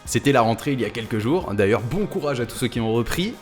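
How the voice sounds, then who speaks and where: A man's voice: mid-range voice, lively intonation, fast talker, soft background music. A man hosting prank calls in casual, slangy, rambling speech. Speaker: prank caller and video host. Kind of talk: casual prank-call chat and commentary.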